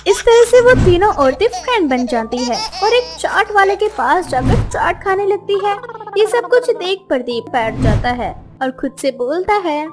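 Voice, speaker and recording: A woman narrating a cartoon story in an expressive, scripted storytelling voice. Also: extremely high pitch, moderate pace, over music.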